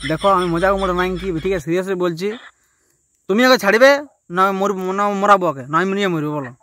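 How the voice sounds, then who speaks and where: Young man, rural western Bengali accent, rapid-fire delivery, bright forceful voice, melodramatic, insistent prank caller. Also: high-pitched, dry recording. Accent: rural western Bengal (Purulia). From West Bengal, India. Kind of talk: casual prank phone call, pleading and arguing.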